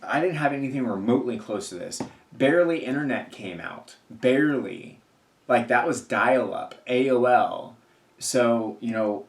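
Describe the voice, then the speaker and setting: A man in his thirties vlogging casually, rambling with many fillers and restarts. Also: medium pitch. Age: 30s. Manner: rambling, filler-heavy, repeats himself. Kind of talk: casual talk to camera. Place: indoors at home work space. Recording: roomy echo, faint music behind.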